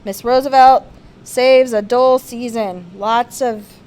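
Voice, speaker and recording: A woman's voice: on the high side, fairly even intonation, takes it slowly, darkish tone, smooth. A woman reads a children's picture-book biography aloud with theatrical, emphatic expression. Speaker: storybook reader.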